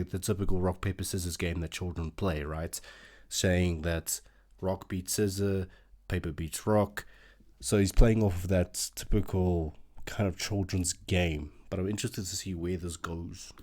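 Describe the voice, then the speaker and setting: Low-voiced man reacting casually. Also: darkish tone. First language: Afrikaans. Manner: chatty, thinks aloud with many fillers. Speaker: reaction video host.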